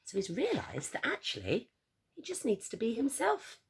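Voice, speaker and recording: A woman reading a picture book aloud to children, theatrical and expressive, with encouraging asides and questions to young listeners. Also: a little high, moderate pace, husky.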